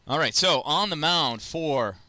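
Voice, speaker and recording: A man calling a youth baseball game play by play, chatty and self-deprecating, with rambling asides and a loud voice. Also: on the high side, expressive, a little slow, dry recording. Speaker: play-by-play announcer. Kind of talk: casual youth baseball commentary.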